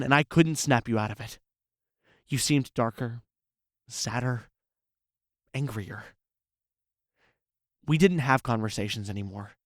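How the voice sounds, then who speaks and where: A man plays a lovesick ex-boyfriend full of regret in an audio drama. He pleads earnestly and haltingly. The sound is dry and intimate. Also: mid-range voice, no room sound, lightly acted.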